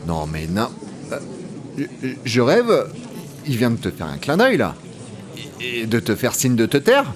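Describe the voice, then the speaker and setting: A man's voice: mid-range voice, wildly swooping pitch, unhurried. A man playing a reassuring, drily teasing veteran employee showing a newcomer around, in a French audio comedy. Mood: wry. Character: wry, reassuring male office guide, patient veteran employee.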